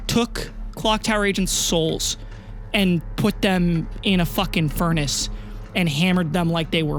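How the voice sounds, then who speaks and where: A game master narrating a tabletop roleplaying game with dramatic, casual, improvised storytelling. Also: medium pitch.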